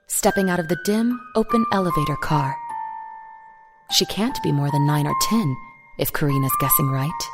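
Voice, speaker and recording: A woman narrates a scripted dramatic story. Her voice sits a little low, and music is clearly heard beneath the reading.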